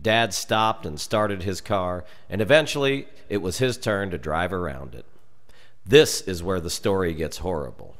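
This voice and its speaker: An American man reading a darkly humorous story aloud in an expressive, measured and dramatic storytelling manner. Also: sits low. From United States. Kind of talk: expressive scripted narration of a humorous dark tale.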